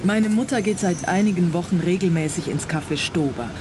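An actress playing a worried, proper schoolteacher in a radio play, speaking in an earnest, correct way. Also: female voice, fairly low pitch, brisk, lightly acted. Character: worried, proper schoolteacher daughter hiring a detective. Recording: faint background ambience noise.

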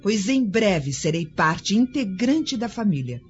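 An actress playing a haughty, threatening young Roman mistress in a radio drama. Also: female voice, medium pitch, big pitch swings, slow. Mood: imperious, scornful. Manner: imperious, scornful. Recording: dull lo-fi audio with music behind.

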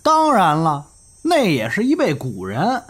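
Actress as husky, sharp-tongued crosstalk partner. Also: female voice, fairly low pitch, wildly swooping pitch, a little slow, heavily acted. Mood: scolding. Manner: scolding, declaiming. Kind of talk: audio drama acting with crosstalk patter.

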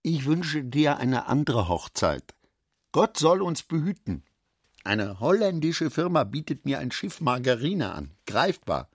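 A husky-voiced, middle-aged father and businessman. His pitch moves widely as he frets in short, emphatic phrases. The sound is close and dry, as in a studio radio play. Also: very animated, unhurried, no echo, exaggerated voice. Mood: worried, cautioning. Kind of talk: German radio drama acting.

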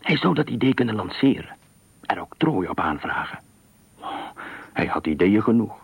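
A man narrating a literary radio thriller story in a measured, expressive storytelling manner. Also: fairly low pitch, gravelly, breathy edge, dry recording.

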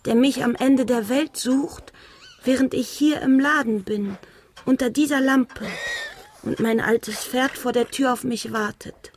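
A woman playing a young, earnest country gentlewoman in a German radio drama, speaking softly and measuredly with a wistful air. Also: high-pitched, steady tone, a little slow, slight background noise. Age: young adult.

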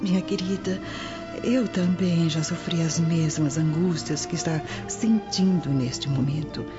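A woman's voice: deep voice, fairly even intonation, measured pace. A woman playing a worldly, knowing Roman matron confiding in a friend in a radio drama. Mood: reassuring. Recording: dull lo-fi audio over music.

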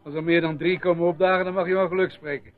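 An actor playing a grumbling, sceptical village doctor and pub regular in a radio drama, with a gruff, scoffing manner. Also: male voice, on the high side, fairly even intonation, fast, lightly acted. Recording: dull old radio play recording.

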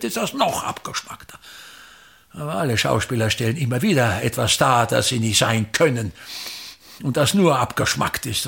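A pompous old theatre tyrant. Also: male voice, on the high side, vocal fry, pushed and bright, full character voice. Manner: grandiloquent, declamatory ranting with sudden swings. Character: pompous aging male theatre maker, bitter, contemptuous. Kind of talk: radio play acting.

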